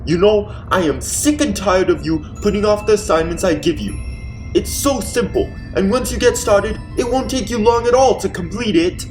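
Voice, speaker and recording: A man's voice: high-pitched, moderate pace, music in the background, pitched above the performer's own voice, close to an everyday voice, poor audio. A man playing a fussy, self-important villainous master of a mansion in a theatrical, exclamatory old-time radio mystery comedy. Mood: scolding.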